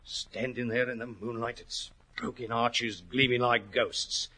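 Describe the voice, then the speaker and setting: Worried racehorse trainer, bright husky voice. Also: male voice, medium pitch, fairly even intonation, unhurried. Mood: hushed, eerie. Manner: earnest, rustic turns of phrase, dramatic storytelling. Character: worried, plain-spoken racehorse trainer, anxious and respectful. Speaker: actor in a radio drama. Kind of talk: 1940s radio acting. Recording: old 1940s radio recording.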